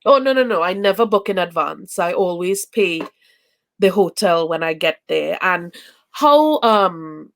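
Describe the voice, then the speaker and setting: A woman's voice: on the low side, animated, a little slow. Chatty woman from Trinidad on a video call. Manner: chatty, many fillers and restarts. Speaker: interview guest, traveling parent. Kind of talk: casual video chat.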